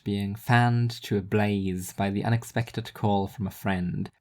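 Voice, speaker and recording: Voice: mid-range voice, dark tone. A narrator reading scripted first-person literary fiction in an introspective, wry manner.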